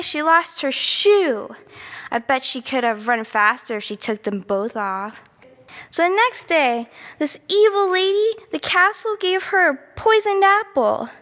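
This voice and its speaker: A woman's voice: on the high side, a bit bright. A woman playing a chatty, easily sidetracked little girl who rambles through a bedtime story with naive asides and self-corrections. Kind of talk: children's storybook monologue. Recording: long reverberant room echo.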